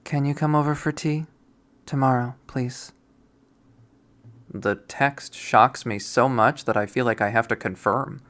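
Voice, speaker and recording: A narrator reading a visual novel aloud, quiet and measured, softly voicing the characters. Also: medium pitch, fairly even intonation.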